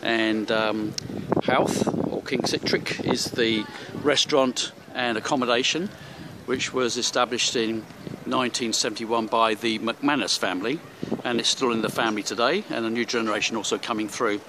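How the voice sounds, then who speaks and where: A man talks through a handheld tour of a seaside hotel, pausing often on fillers and restarting his sentences. His voice sits in the middle of the male range. Faint background ambience runs under the camera audio. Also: mid-range voice, steady tone, background noise. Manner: rambling, many fillers and restarts. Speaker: travel vlogger. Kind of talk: handheld walking tour narration. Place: hotel rooms and seaside street.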